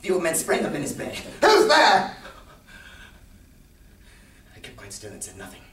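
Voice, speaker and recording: A man's voice: fairly low pitch, big pitch swings, rapid-fire. Wildly swinging, nervous madman, echoey room. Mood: gleeful, agitated, then hushed, confiding. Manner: theatrical, swinging from hushed whispers to manic shouts and chuckles. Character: nervous, gleeful madman confessing to murder. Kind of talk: dramatic solo stage recitation of a gothic story. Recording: roomy, distant stage recording with noise.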